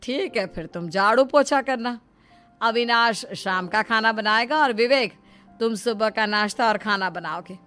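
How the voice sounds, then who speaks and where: A woman's voice: fairly high pitch, measured pace, a bit bright, faint music behind. A woman voicing a bossy middle-aged mother-in-law in a dramatic, emphatic cartoon style. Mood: firm. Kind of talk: Hindi cartoon story acting.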